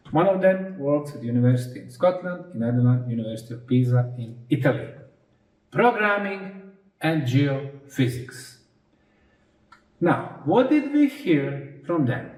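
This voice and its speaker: A man from Bosnia giving an explanatory lecture as a researcher, speaking in short, deliberate, emphatic phrases. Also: fairly high pitch, a little mellow. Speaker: researcher presenting his analysis. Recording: roomy echo, distant microphone.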